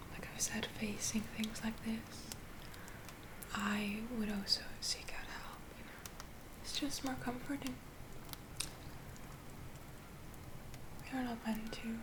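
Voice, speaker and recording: A woman playing a gentle, reassuring witch healer in a soft whispered ASMR roleplay, soothing and a little hesitant. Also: a little high, background noise. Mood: comforting. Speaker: ASMR performer.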